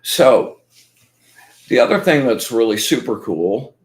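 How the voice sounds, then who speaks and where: A man's voice: mid-range voice, very animated, takes it slowly. A man hosting a casual spiritual talk, rambling and enthusiastic, full of fillers and restarts, walking his viewers through his methods step by step. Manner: explains step by step. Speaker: host.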